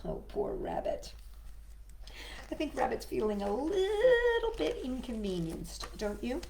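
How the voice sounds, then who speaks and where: A woman's voice: high-pitched, wildly swooping pitch, a little slow, darkish tone, echoey room, a little hiss or hum. A woman hosting storytime, reading a picture book expressively to young children and adding questioning asides. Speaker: storytime host.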